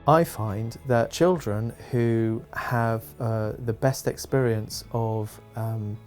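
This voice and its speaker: A man's voice: medium pitch, takes it slowly, a little mellow. A man who works with youth groups, describing his work as if answering an interview. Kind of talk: interview-style account of work for a promotional film. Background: background music.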